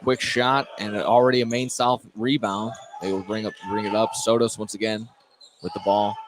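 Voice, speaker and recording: Male play-by-play basketball announcer, lower register, live from a noisy gym. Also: level tone, normal speed. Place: indoor high school gym. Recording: noisy gym ambience, bouncing balls.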